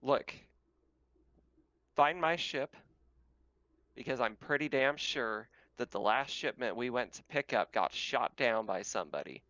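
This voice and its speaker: A man's voice: medium pitch, animated, measured pace, pauses often, pushed and bright, no room sound, lo-fi sound. A man voicing a tough, angry female corporate dealmaker, scolding and swearing in a tabletop game. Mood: grudging, curt. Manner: blunt, scolding, foul-mouthed.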